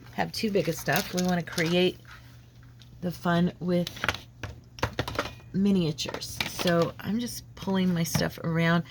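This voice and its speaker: A woman's voice: deep voice, background noise. A woman hosting a crafting vlog at her home craft desk, chatting casually with lots of fillers while slightly froggy from a head cold. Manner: rambling, friendly, many fillers and restarts. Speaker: crafting channel host.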